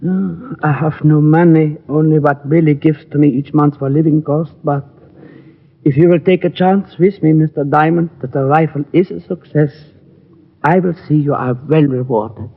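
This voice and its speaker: Gravelly, husky immigrant inventor. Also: male voice, fairly high pitch, fairly even intonation. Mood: pleading, explaining. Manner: deferential, halting, formal foreign phrasing. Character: earnest, polite immigrant German gunsmith, humble inventor. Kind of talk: 1940s-50s radio drama acting. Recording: thin, phone-like old radio recording.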